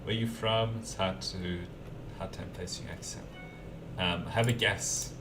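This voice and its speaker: A man's voice: deep voice, fairly even intonation, unhurried, over music. A man from Germany with a mild German accent and an Australian twang, chatting easygoingly with viewers while he builds in a game. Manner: easygoing, chatty, answers viewer questions between tasks. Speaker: game streamer. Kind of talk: casual live-stream chat while building in a game. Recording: distant mic with keyboard typing behind.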